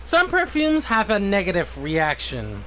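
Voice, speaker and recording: Male streamer, unusually high pitch, animated intonation, casual gameplay chat, slight background noise. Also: a little slow. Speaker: game livestreamer.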